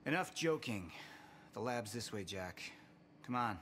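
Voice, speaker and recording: An actor playing a driven, persuasive scientist in earnest, cinematic video game dialogue. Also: male voice, on the low side, expressive, measured pace, a bit bright, a little hiss or hum. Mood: confident. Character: driven, persuasive male scientist friend, secretly nervous. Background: music.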